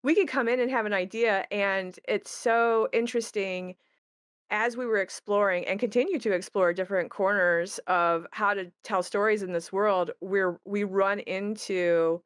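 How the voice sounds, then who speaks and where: Lady chatting about her work, clear smooth voice, thoughtful, dry sound. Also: medium pitch, fairly even intonation, moderate pace, no echo. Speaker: tabletop game creator. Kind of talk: casual panel chat.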